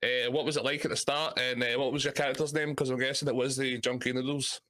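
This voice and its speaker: A man's voice: mid-range voice, little pitch movement, fast, a bit bright. Guy hosting a chat, trips over his words, friendly, medium voice. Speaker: podcast host. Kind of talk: casual interview.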